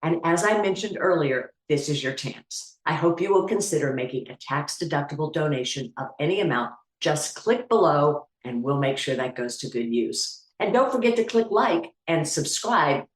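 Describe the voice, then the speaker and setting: A woman's voice: deep voice, animated, moderate pace, few pauses, echoey room, low-quality recording. A woman hosting, giving an upbeat, earnest scripted introduction to a radio play and appeal for donations. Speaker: host.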